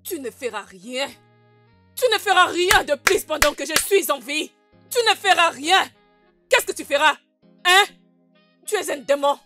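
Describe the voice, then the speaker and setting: A woman's voice: high-pitched, expressive, unhurried, long pauses, sharp tone, faint music behind, heavily acted. A woman playing a fiery, defiant village mother who protects her son, combative and indignant, in a dubbed melodrama. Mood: defiant, threatening.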